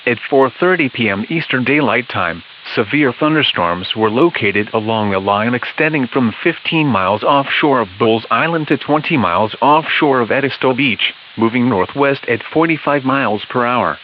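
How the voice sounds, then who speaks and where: A man reads a formal, scripted emergency weather bulletin in an impersonal, measured way. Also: medium pitch, animated. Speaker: weather alert announcer. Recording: dull hissy weather-radio broadcast audio.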